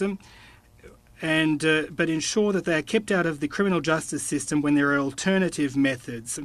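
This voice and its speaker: A man interpreting formal committee testimony, with a measured, halting delivery. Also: on the high side, no room sound.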